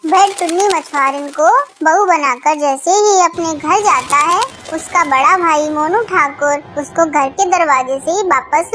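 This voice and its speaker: A woman's voice: very high-pitched, bright, forceful tone, a little hiss or hum. A woman narrator reading a scripted children's story.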